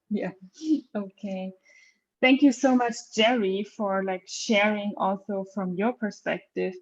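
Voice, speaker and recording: Female webinar host, medium pitch, informal and encouraging, with slightly dull online audio. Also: fairly even intonation, takes it slowly. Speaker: host, moderator. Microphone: video call.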